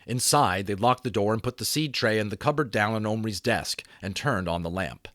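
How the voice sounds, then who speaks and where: A man giving a dramatic audiobook reading as storyteller, with expressive, varied delivery. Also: fairly low pitch, moderate pace, no room sound, clean studio sound.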